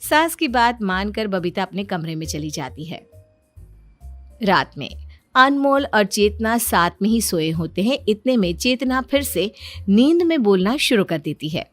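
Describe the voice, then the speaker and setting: A woman's voice: mid-range voice, very animated, unhurried, no echo, soft background music. A woman narrating a scripted cartoon story as the storyteller.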